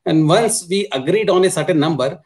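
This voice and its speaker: A man's voice: sits high, fairly quick, a little mellow. A middle-aged man with an Indian accent explaining business matters as a panelist on a video call, leaning on repeated filler words. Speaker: panelist, supply chain executive. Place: indoor room on a video call.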